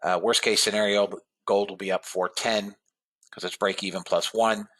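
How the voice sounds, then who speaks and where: A man hosting a live trading webinar, talking casually to his viewers and calling them guys and fellas, a bit hoarse. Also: lowish voice, flat delivery, normal speed, a bit bright, rough recording. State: slightly hoarse voice. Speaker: trading room host.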